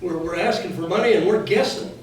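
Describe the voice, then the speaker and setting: Echoey, high-voiced plainspoken American man. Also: fairly quick. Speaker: school board official answering residents. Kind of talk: impromptu answers at a community meeting. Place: indoor community meeting room, recorded from afar. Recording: echoey room, distant mic, faint noise.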